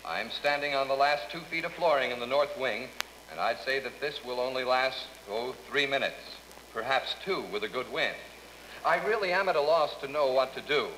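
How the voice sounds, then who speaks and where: A man acts out a straight-faced radio announcer with a bright, forward voice. He reads silly letters with formal gravity in a comedy skit. The old recording has long reverb and faint noise. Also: mid-range voice, moderate pace, natural voice. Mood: deadpan, formal. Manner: deadpan, formal. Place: radio studio.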